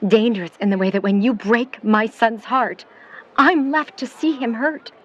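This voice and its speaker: A woman playing a protective, skeptical middle-aged mother in a 1950s New York audio drama, speaking in formal, measured, wordy sentences. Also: fairly high pitch, highs cut off, close to an everyday voice. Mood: stern. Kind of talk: vintage-set audio drama acting.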